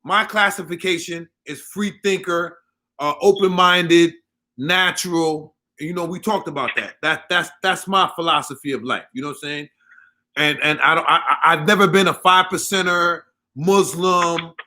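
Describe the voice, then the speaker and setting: A man with a Haitian accent hosts a casual live podcast in a bright, forceful voice pitched a little high. He talks emphatically and often repeats a point for stress. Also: normal speed. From Haitian family. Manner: animated, emphatic, repeats himself. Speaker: podcast host.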